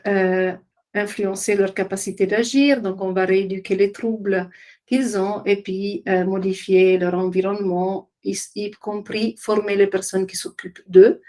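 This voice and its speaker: Middle-aged lady w/ presenting her work, medium pitch, kinda hesitant, hunting for words. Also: darkish tone. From Italian-speaking Switzerland. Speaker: occupational therapist, trainer and business founder presenting. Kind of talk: informal web conference presentation.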